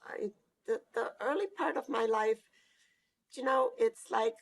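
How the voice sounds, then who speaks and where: An elderly woman from Hungary, giving a recorded oral history interview as a Holocaust survivor, speaking reflectively and hesitantly with pauses. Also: on the high side, gravelly. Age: older.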